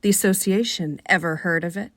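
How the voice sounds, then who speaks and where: A woman with a Rochester, New York accent reading a short story for radio in an expressive, wry manner. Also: on the low side, fairly quick, no echo, studio quality. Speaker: author reading aloud.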